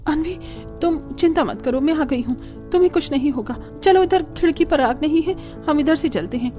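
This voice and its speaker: A woman's voice: high-pitched, moderate pace, warm tone, faint music behind, close to an everyday voice. A woman voicing a kind, modest young daughter-in-law in a cartoon story, with a polite, sincere manner. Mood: urgent, reassuring. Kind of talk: Hindi cartoon story voice acting.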